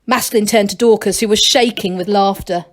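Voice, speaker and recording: A woman's voice: mid-range voice, steady tone, normal speed. A woman reading a children's storybook aloud as narrator, like a teacher to a class.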